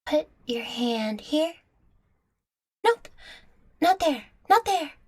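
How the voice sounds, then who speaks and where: A woman's voice: sits high, big pitch swings, very slow, heavily acted. A woman playing a playful, affectionate young catgirl girlfriend in an intimate audio roleplay, teasing, coaxing and tender with the listener. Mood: caring, guiding.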